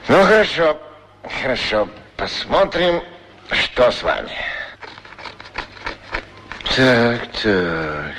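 A musing shoe shiner with swooping intonation. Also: male voice, medium pitch, wildly swooping pitch, a bit bright, a little hiss or hum, full character voice. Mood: curious, probing. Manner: musing, halting, repeats words to himself. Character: gentle, musing male shoe shiner who chats with shoes. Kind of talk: radio play acting. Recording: 1960s radio drama recording.

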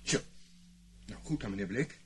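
Actor as indignant colonel, very animated. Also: male voice, on the low side, moderate pace, natural voice. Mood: haughty, demanding. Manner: curt, haughty, demanding. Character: indignant, stiff male army colonel, greedy and proud. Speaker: actor in a radio play. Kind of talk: Dutch radio play acting. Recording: old radio play recording, faint noise, hum.

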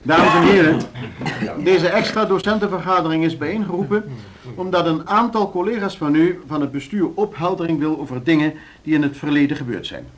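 Actor as a pompous, high-pitched board chairman. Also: a little fast, a little hiss or hum, natural voice. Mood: formal, placating. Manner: pompous, oratorical, self-repeating. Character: pompous male board chairman, formal, placating and evasive. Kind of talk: formal speech in Dutch radio play.

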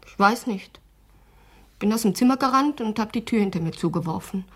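A bitter young woman under police questioning in a radio play. Her medium-pitched voice is husky and breathy, her answers terse and resentful. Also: lively intonation, brisk. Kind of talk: radio drama acting.

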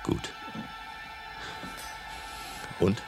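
An actor playing a grave, commanding older professor of medicine in a radio drama, speaking tersely and deliberately with a gravelly voice. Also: male voice, music in the background. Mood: hushed, probing. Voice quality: deep, gravelly. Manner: slow, terse, instructing.

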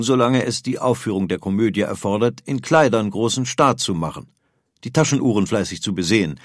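A man's voice: mid-range voice, no room sound, clean studio sound. A man reading scripted historical documentary material as a narrator.

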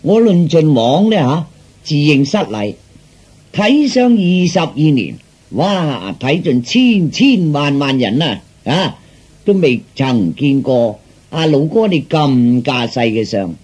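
A man acts out a grandiose street fortune teller from a comic audio story. His husky, fairly high voice swings widely as he flatters and haggles. The patter is slow, declamatory and sing-song, drawn out for emphasis. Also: heavily acted. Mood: boastful flattery. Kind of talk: theatrical Cantonese comic storytelling.

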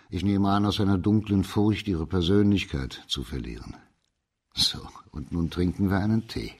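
An actor playing a stern, dignified district judge in a radio drama, speaking formally and with measured authority. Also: male voice, lowish voice, darkish tone. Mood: thoughtful, explaining.

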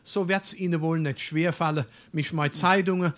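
A man's voice: a little high, steady tone, normal speed, a bit bright, close to an everyday voice. A man playing a stuffy, respectable burgher father in a Darmstadt dialect comedy, speaking formally and ponderously. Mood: stern, formal. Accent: Darmstadt Hessian. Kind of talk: dialect stage comedy acting.

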